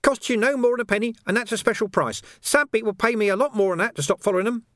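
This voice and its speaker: Cheeky scrawny street urchin, as a male narrator puts him on, high and lively, wheedling in slang, dry close sound. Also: fast, no echo.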